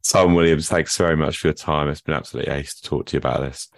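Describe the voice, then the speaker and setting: Very deep-voiced male podcast host. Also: fairly even intonation, racing, creaky, no echo. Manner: brief, encouraging listener backchannel. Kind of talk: casual interview and show outro.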